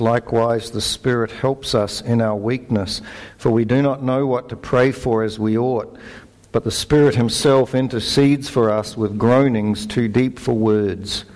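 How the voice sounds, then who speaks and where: A middle-aged Australian man giving a conversational sermon, relaxed and self-deprecating, with fillers and restarts. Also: on the low side. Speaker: preacher.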